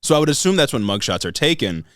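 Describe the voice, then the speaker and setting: A man's voice: medium pitch, sing-song, fast, fairly bright tone, dry recording, clean studio sound. A young American man co-hosting a podcast, explaining the news in casual, slangy and sometimes profane talk. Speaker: podcast co-host.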